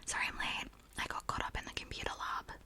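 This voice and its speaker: A woman's voice: fast talker, close to an everyday voice. A woman whispering an earnest nerdy teen girl. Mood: explaining.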